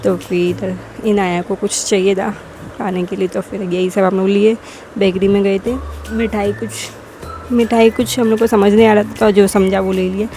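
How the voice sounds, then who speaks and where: A woman's voice: medium pitch, flat delivery, moderate pace, warm tone, soft background music. A young woman with a sore throat narrating her family vlog as a casual voice-over, rambling and correcting herself as she goes. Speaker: vlogger.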